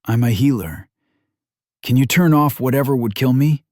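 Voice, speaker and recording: A man's voice: medium pitch, moderate pace, darkish tone, no echo, clean studio sound, voice pushed up, lightly acted. A man voicing an ordinary young man stranded in a magic world, wary and sarcastic, with a wry, self-muttering way of talking. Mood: earnest calling. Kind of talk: audiobook character voice.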